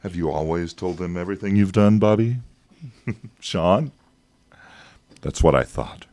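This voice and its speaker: An actor in a radio drama playing an evasive, persuasive government agent, smooth-talking and patronizing, with hesitations. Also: male voice, low-pitched, a little slow, a little mellow, dry recording. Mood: insistent, smug.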